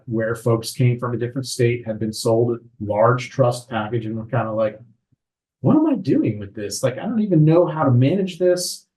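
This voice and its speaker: A man, an attorney, explaining legal matters in a calm, measured way during a podcast conversation. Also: medium pitch, rough recording. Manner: measured, explanatory, frequent "right?" tags. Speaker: estate attorney.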